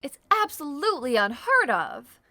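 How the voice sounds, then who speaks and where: A woman's voice: high-pitched, wildly swooping pitch, moderate pace, sharp tone, no echo, studio quality, exaggerated voice. A woman playing a haughty, indignant stage actress with a disdainful, theatrical manner in an audio drama.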